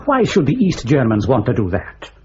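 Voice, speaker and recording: An actor playing a wry, sceptical British intelligence officer in a radio drama. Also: male voice, mid-range voice, huge pitch swings, moderate pace, muffled recording, noisy background. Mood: sceptical, dry.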